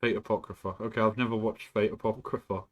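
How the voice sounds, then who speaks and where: Slightly low-voiced man streamer rambling. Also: fairly even intonation, quick pace. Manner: rambling, with frequent throat clearing and swearing. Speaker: live streamer. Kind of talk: casual stream chat. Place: indoors at home.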